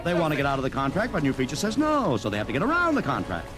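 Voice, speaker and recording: A man's voice: fairly high pitch, huge pitch swings. An actor playing a brash, slangy publicity man in an old-time radio drama. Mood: sly, confiding. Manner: brisk, slangy, wheedling. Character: brash fast-talking male publicity man, slick and pushy. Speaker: publicity agent. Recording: old radio recording with music behind.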